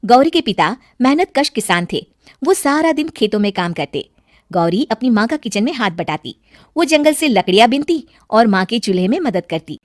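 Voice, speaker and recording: A woman's voice: a little high, sing-song, moderate pace, no echo, studio quality. A woman giving scripted narration for a children's moral cartoon story.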